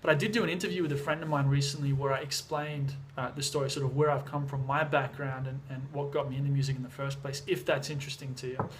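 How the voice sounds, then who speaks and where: A young Australian man from Sydney, a bit hoarse from being sick, talking casually to the camera in a relaxed, chatty way with lots of fillers and restarts. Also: medium pitch, fast, few pauses, slight background noise. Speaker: music producer vlogger. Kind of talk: casual vlog talk to camera. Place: home in the mountains, moving between outdoors and indoors.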